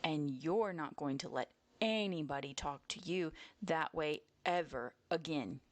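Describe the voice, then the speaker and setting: A woman's voice: sits low, expressive, moderate pace, fairly bright tone, dry recording. A woman voicing a stern, protective father bear in a children's storybook, speaking in a firm, instructive way.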